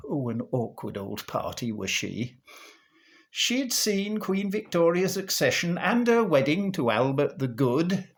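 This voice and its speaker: A man reciting a comic verse monologue in a theatrical, measured storytelling manner. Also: a little high. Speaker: monologue performer.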